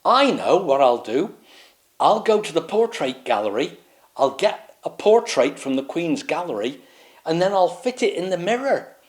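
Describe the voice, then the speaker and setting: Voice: medium pitch, very animated, normal speed, pitched above the performer's own voice, close to an everyday voice. A storyteller doing a dithering, muttering knight. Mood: scheming. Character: dithering, forgetful knight, man.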